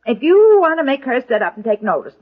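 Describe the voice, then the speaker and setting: A muffled old radio recording carries a crotchety old grandmother with a high voice. She is feisty and meddling, bluntly bossing people around in 1940s comedy style. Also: big pitch swings, a little fast. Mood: scheming, bossy.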